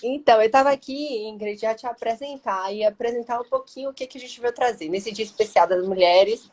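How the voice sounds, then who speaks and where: A woman's voice: a little high, fairly quick, a little mellow. Chatty Bahian woman hosting a live stream. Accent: Bahian Brazilian Portuguese. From Bahia, Brazil. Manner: chatty and affectionate, long rambling sentences. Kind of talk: casual live-stream interview.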